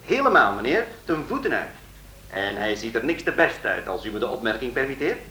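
An actor playing a cheeky, pedantic manservant with a deadpan, deferential wit in a radio play. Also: male voice, medium pitch, a little fast. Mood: dry, cheeky. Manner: deadpan, over-literal, deferential. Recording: roomy old radio recording, noisy.

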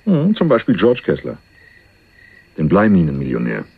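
An actor playing a shrewd, sardonic small-time jailbird in a German radio crime drama, teasing and probing. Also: male voice, sits low, huge pitch swings, moderate pace, exaggerated voice. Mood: sly, insinuating. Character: shrewd, needling small-time male jailbird, broke and worldly-wise. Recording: dull lo-fi radio recording, faint noise.

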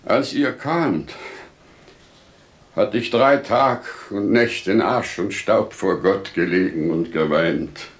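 An actor playing a wise, devout older merchant father in solemn, declamatory, deliberate 1950s radio play style. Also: fairly low pitch. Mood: anguished, solemn. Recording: 1950s radio drama recording.

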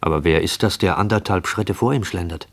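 An actor playing a haunted, watchful narrator in a literary radio play monologue, measured and brooding. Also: male voice, deep voice.

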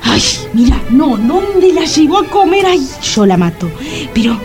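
A woman's voice: high voice, big pitch swings, unhurried, music in the background. An actress playing a hot-tempered, distraught Argentine mother in a comic radio drama, with a melodramatic, indignant delivery. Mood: irritated, obsessed. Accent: Argentine Rioplatense.

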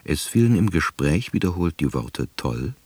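A man's voice: low-pitched, sing-song, normal speed, a little mellow, breathy edge, full character voice. An actor playing a wry, pedantic middle-aged man who narrates his own story in a radio drama, dry and officious. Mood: officious, deadpan. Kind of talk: scripted first-person radio drama narration.

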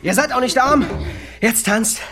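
A man's voice: very high-pitched, unhurried. An actor playing a boisterous, beer-drinking father who commands and teases with showy flair, in a radio play. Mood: teasing, exuberant. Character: boisterous, beer-drinking father goading his small sons to dance. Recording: loud music behind the voice.

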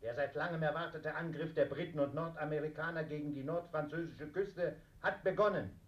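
Radio play: formal male wartime bulletin reader, declamatory, high voice, dull radio-set sound. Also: fairly quick, reverberant. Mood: solemn, martial. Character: solemn wartime German radio announcer reading military bulletins. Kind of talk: 1940s radio news reading.

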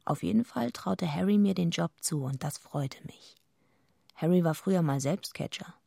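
Tough young narrator for radio drama: creaky lowish voice, dry wit, close dry recording. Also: female voice, moderate pace, dark tone, no echo. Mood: wry, matter-of-fact. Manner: dry, matter-of-fact. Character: tough, streetwise young woman wrestler, wry narrator.

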